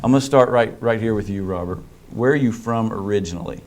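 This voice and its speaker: Friendly low-voiced male moderator. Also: quick pace, a little mellow. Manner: friendly, brief prompting questions. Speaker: host and moderator. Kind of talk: roundtable candidate introductions.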